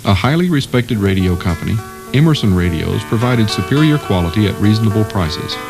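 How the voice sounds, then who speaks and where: A man's voice: fairly low pitch, lively intonation, a little fast, gravelly. A man reading scripted documentary narration. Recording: music under the voice. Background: music.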